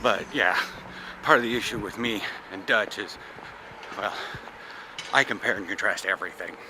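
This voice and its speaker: A man's voice: on the low side, normal speed. Chatty man vlogging over street noise. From United States. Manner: chatty, rambling, laughs often. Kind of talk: casual vlog chat. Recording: clear traffic noise outdoors.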